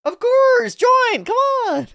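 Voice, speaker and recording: A woman voicing an excitable, fearful young devotee in a comic read-aloud, pleading in a shrill, frantic way. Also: slow pace, gravelly, no echo, clean studio sound, heavily acted. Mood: eager urging.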